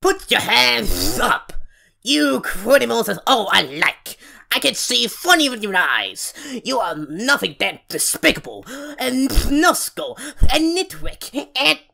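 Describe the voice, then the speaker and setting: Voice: medium pitch, sing-song, slow pace, gravelly, bright, forceful tone. A voice actor performing an audition reel of cartoon characters in an exaggerated delivery.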